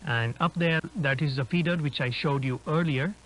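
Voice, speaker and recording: A man's voice: a little high, normal speed, fairly bright tone, close to an everyday voice, poor audio. A man playing an inquisitive explorer, explaining things conversationally as he films a found-footage drama. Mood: curious, explanatory. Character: inquisitive male urban-explorer filming a dinosaur park. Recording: humming background noise.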